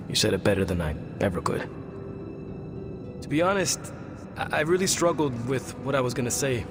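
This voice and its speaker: A man playing an awkward, earnest young hero who speaks haltingly in a video game cutscene. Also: mid-range voice.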